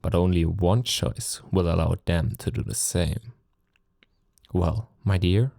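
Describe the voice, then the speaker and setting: A man's voice: slow pace, dark tone, no echo, studio quality, full character voice. A man playing a centuries-old vampire lord, sadistic, possessive and silkily menacing, in a theatrical intimate ASMR roleplay. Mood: silkily persuasive. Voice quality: low, creaky. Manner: theatrical, taunting, courtly endearments, commanding. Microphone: close studio mic, dry.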